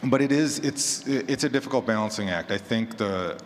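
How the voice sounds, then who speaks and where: Guy with a lowish voice chatting on a panel, big echoey hall, some noise behind him. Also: moderate pace. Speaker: panelist, horror fiction podcaster. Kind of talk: live panel discussion, casual. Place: large echoey room at a convention panel.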